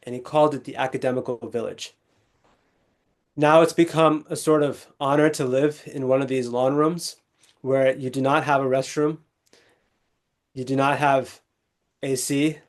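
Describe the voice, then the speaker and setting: A young man from Texas, a legal scholar, speaking as a guest in an interview, articulate and measured with small restarts. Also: mid-range voice, fairly even intonation. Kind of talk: conversational interview storytelling.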